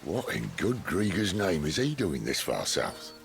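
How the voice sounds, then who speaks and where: A roguish outlaw assassin in a game cutscene, needling his companion with dry humor. The voice is very low and gravelly, and its pitch rises and falls in lively swings. Game music plays clearly underneath. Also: unhurried, full character voice. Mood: wry. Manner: dry teasing banter. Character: wry roguish male outlaw assassin, middle-aged.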